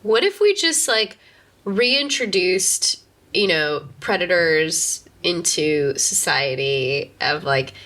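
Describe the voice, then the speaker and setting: A woman in her mid-thirties chatting casually as a podcast host, musing in a halting way and often saying "like". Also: lowish voice, animated, bright, forceful tone. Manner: halting, filler-heavy musing with frequent "like". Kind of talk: casual conversation.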